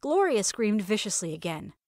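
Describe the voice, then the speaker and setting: A woman reading a first-person story as narrator, in a wry, expressive, dramatic way. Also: mid-range voice, normal speed, no room sound, clean studio sound. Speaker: narrator of audio fiction.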